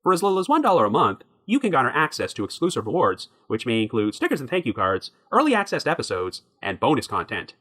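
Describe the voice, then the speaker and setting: A man hosting a podcast, narrating a true crime story from a prepared script in a measured way. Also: mid-range voice, huge pitch swings, pushed and bright. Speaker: podcast host.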